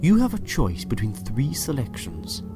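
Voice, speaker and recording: A man's voice: mid-range voice, huge pitch swings, normal speed. A man acting a sinister, urbane doctor in an audio drama, polite, theatrical and condescending, with cool menace. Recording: loud music behind the voice. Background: loud music.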